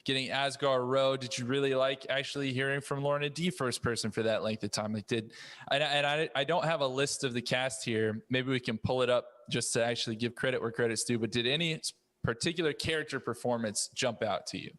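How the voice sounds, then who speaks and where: Male podcast moderator, mid-pitched, casual questioning delivery, with long room reverb. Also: steady tone, fairly quick, a bit bright. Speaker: podcast host posing questions.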